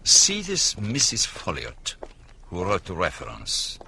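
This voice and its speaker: An actor playing a polite, probing detective with a courteous, deliberate manner in a radio drama. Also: male voice, low-pitched, huge pitch swings, gravelly, pushed and bright, a little hiss or hum, full character voice. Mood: earnest, persuasive. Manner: courteous, hesitant, deliberate questioning.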